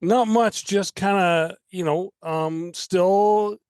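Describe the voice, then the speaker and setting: Higher-voiced Canadian man chatting casually. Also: slow pace, a little mellow, clean tone, no echo, clean studio sound. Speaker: podcast guest. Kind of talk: casual chat.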